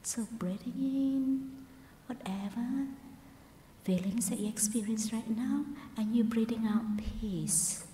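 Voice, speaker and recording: Female meditation guide: very gentle and breathy, slow delivery, recorded in an echoey hall with faint noise. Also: on the high side, fairly even intonation. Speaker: meditation teacher, Buddhist nun. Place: large meditation hall at a Buddhist centre. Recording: roomy hall reverb, faint background noise.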